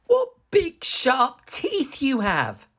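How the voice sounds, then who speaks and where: A woman's voice: high voice, wildly swooping pitch, extremely slow, fairly bright tone, highs cut off, voice pushed up, exaggerated voice. A woman voicing a sweet, trusting little girl in a storybook, wide-eyed and earnest. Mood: wondering.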